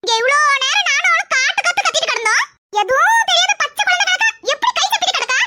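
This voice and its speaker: Lady doing a cartoon tamil mom from the south, super high pitched, nagging and sarcastic, southern tamil nadu accent, noisy. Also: expressive, fast. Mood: scolding. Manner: nagging, sarcastic, sharp-tongued. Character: scolding, sarcastic, exasperated village mother. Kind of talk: cartoon character dialogue. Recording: very loud background noise.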